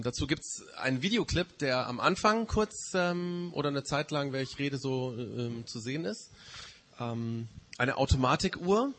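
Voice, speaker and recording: A man's voice: medium pitch, a bit bright, a little hiss or hum. A man with a slight head cold gives an informal sermon, explaining and often rephrasing his key points. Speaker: preacher. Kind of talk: informal sermon to a church community.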